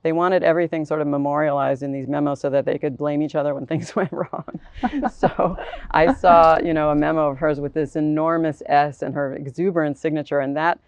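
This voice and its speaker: A woman's voice: low-pitched, brisk, darkish tone, no echo. A woman guest author speaking thoughtfully in a panel discussion, telling stories in an articulate, reflective way.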